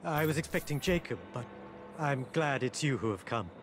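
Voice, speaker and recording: An actor playing an exiled Indian maharaja in a game cutscene, earnest, dignified and resolute, speaking formally and with measure. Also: male voice, medium pitch, expressive, normal speed, over music, close to an everyday voice. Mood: earnest, composed. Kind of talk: video game cutscene acting.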